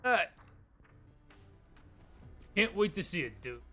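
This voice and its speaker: Animated, high-pitched young man streaming. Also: slow pace, soft background music. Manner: slangy, informal, addresses viewers as dude and man. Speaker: game livestream host. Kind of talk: casual gameplay chat with viewers.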